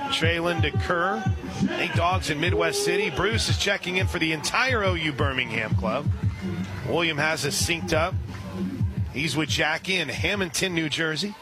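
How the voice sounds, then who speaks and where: A man calling a softball game live on radio, steady between pitches and reading listener shout-outs. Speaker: radio play-by-play announcer. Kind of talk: live radio sports commentary.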